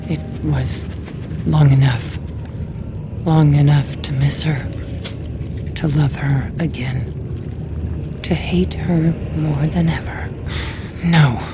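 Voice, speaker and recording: A woman's voice: sits low, fairly even intonation, takes it slowly, long pauses, noisy background. An actress voicing a haunted, half-mad dwarf woman in a fantasy video game, chanting eerily and breaking into anguished whispers. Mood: anguished, guilty. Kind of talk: dramatic fantasy game acting.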